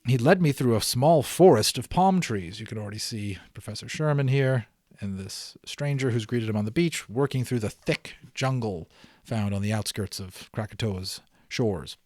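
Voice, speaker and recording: A man narrating a storybook aloud in an expressive, explanatory way, as an audiobook reader. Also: mid-range voice, moderate pace, dry recording, clean studio sound.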